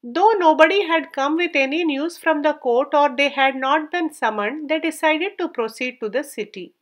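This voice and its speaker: A woman's voice: sits high, normal speed. A woman narrating a scripted folk tale as a storyteller, reading it aloud.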